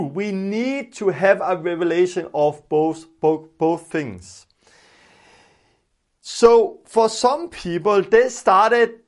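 A man from Denmark with a Danish accent, a native Danish speaker, preaching in simple explanatory English and repeating phrases for emphasis. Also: high-pitched. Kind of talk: informal teaching sermon with prayer.

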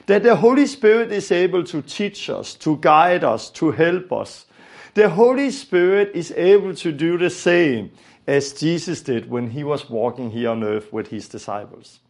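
A man with a Danish accent delivers a religious lesson in a fairly high voice with a lot of vocal fry. He is earnest in his teaching and often repeats phrases to stress them. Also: a little mellow. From Denmark. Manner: earnest, repetitive phrasing for emphasis.

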